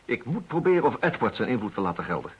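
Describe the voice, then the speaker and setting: An actor playing an eager, determined investigator in a radio play, urgent and persuasive. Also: male voice, mid-range voice, sing-song, fast talker, husky. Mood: exasperated. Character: eager, determined male amateur investigator, impatient with police. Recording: dull lo-fi radio drama recording.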